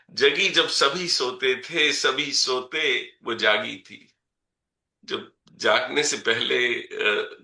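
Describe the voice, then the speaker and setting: A man's voice: mid-range voice, bright, forceful tone, echoey room. A man reciting his own poem at an online poetry gathering, saying each couplet twice and adding short spoken explanations between verses. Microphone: video call.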